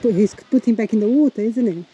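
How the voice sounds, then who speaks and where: A woman's voice: on the high side, fast talker, lo-fi sound. A woman in her forties chatting casually on camera outdoors, hesitant with fillers, as a travel vlogger. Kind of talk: casual travel vlog chat. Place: outdoors on a street. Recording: loud outdoor background noise.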